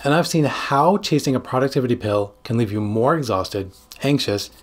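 A man in his forties, a psychiatrist hosting a scripted explainer to camera with emphatic, dramatic phrasing and a slightly husky voice. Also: medium pitch, animated, normal speed. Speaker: psychiatrist and video host. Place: small home studio room. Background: background music.

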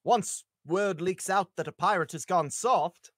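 Man playing a slow, mocking pirate rogue. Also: sits high, a bit bright, no echo, clean studio sound. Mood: cynical. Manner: sardonic, theatrical. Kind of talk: live table read of a film script. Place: indoors, on a group video call.

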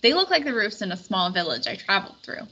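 A woman's voice: medium pitch, big pitch swings, fast talker, bright, forceful tone, slightly rough, muffled recording, exaggerated voice. A woman voicing an earnest, anxious young male squire in a storybook read-aloud. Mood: earnest explaining.

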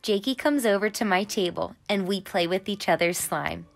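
A young adult woman narrating a picture book for children in an animated, expressive and carefully enunciated way. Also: medium pitch, normal speed, vocal fry, faint music behind. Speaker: children's read-aloud storyteller.

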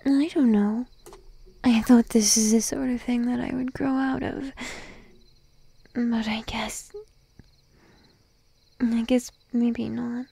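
Woman doing a timid clingy sheep girlfriend, asmr roleplay, breathy whispers, really slow and sleepy. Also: fairly high pitch, fairly even intonation, dry recording, exaggerated voice. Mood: wistful. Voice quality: soft, breathy, mostly whispered. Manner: timid, hesitant, drowsy, slow and cooing. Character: shy, needy young sheep-girl girlfriend, clingy and affectionate.

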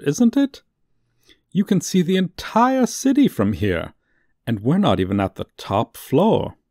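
A man playing a theatrical, sardonic supervillain posing as a tour guide in a comedic audio roleplay, with showy drawn-out emphasis and dry asides. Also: mid-range voice, mellow, dry recording, heavily acted. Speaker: voice actor. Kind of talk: comedic ASMR roleplay monologue.

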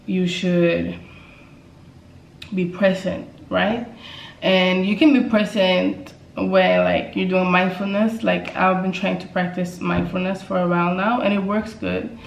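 A woman's voice: medium pitch, creaky. A young woman, talking casually to camera in a vlog from her apartment, chatty and repeating phrases for emphasis. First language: Swedish. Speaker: YouTuber. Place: indoors, bright apartment living room. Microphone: camera mic at desk distance. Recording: echoey room.

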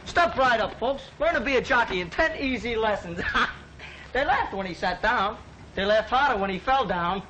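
An actor playing a cocky, wisecracking New York street kid with slangy, teasing barker's patter in an old film. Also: male voice, high-pitched, lively intonation, moderate pace, sharp tone, muffled recording. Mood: mocking. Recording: noisy old film soundtrack.

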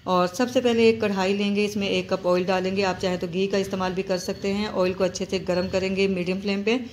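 A woman hosting a home cooking channel, calmly narrating each recipe step and repeating key points. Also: medium pitch, a little fast, a little hiss or hum. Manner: steady, instructive, repeats steps.

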